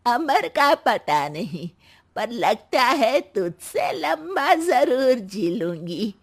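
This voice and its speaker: A woman voicing a spry, sharp-tongued, very old grandmother-in-law in a cartoon, teasing and scolding her family. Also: a little high, sing-song, extremely slow, breathy edge, exaggerated voice. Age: older. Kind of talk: cartoon voice acting.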